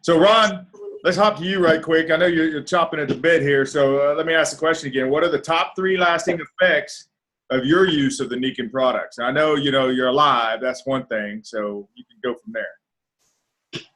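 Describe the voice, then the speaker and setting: A man's voice: a little high, normal speed, a bit bright, poor audio. A man hosting a casual panel talk, upbeat and encouraging toward his guests. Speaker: host.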